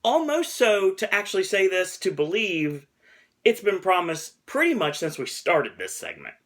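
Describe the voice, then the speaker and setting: A man's voice: high-pitched, wildly swooping pitch, moderate pace, a bit bright. A man hosting a casual snack review vlog, rambling and chuckling at himself. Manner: rambling, chuckles often, self-deprecating. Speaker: host.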